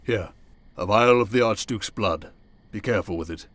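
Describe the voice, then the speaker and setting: A man portrays a cold, imposing vampire duke in a fantasy audio drama. His low voice is stern and formal, giving curt commands. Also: normal speed, a bit bright, no echo, lightly acted. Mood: formal, menacing.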